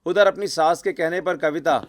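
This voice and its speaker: A man's voice: fairly high pitch, moderate pace. A man narrating a scripted cartoon story.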